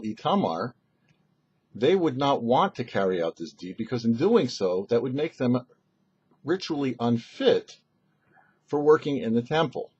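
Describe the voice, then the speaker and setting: Husky, animated man teaching scripture. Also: mid-range voice, dull audio. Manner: didactic, rhetorical questions. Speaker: rabbi, show host. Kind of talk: short scripted Torah teaching.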